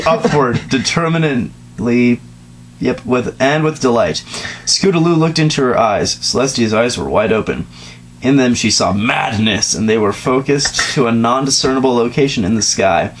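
A man's voice: medium pitch, animated, normal speed. A young man doing an informal dramatic reading of a story, stumbling over words and breaking off for casual asides. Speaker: reader. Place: small dim room indoors, on a couch. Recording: noisy home recording with hum.

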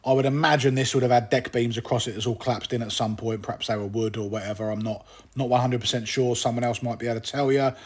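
A middle-aged man from England, host of a fishing channel, talking casually over video with a relaxed, chatty manner full of asides. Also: medium pitch, fast, husky.

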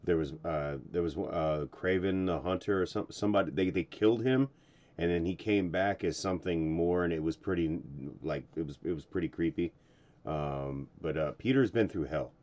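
Very deep-voiced middle-aged man, musing. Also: moderate pace, a little mellow, dull audio. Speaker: reaction video host. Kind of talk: casual reaction commentary. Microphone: desk microphone close by.

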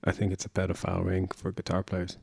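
A man's voice: low voice, monotone, fast, gravelly, a little mellow, breathy edge, no echo, clean studio sound. A man co-hosting a music podcast in casual chat, rambling and opinionated with crude language.

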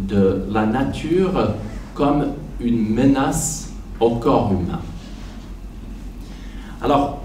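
A man in his forties, speaking French as a second language, delivers an academic talk at a conference table into a handheld mic. He speaks slowly and carefully in a low, mellow voice, pausing with fillers. The sound is roomy and a little distant, with faint background noise. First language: not French. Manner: careful, hesitant with frequent pauses and fillers. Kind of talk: academic lecture. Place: conference hall, seated at a panel table. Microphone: handheld microphone. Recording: echoey hall, slightly distant.

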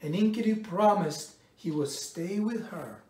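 A middle-aged man reads a picture book aloud in a measured, expressive storytelling way, pausing between phrases. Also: high-pitched. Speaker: storybook reader. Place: indoors in a bright home room by a window. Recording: roomy echo, distant microphone.

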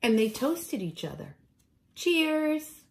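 Slow, animated woman reading a picture book. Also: sits high, gravelly. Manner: deliberate storytelling for young children. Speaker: teacher reading aloud to children. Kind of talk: expressive picture-book read-aloud. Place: room at home, indoors.

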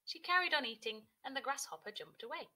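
Woman storyteller, thirties, bright tone, lively intonation, expressive children's narration, teacher. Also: high voice, fast.

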